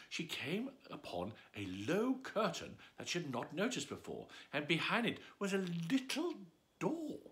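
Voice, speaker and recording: A man's voice: fairly high pitch, bright, forceful tone. A man reading a bedtime story aloud, playful and adding asides to the listener.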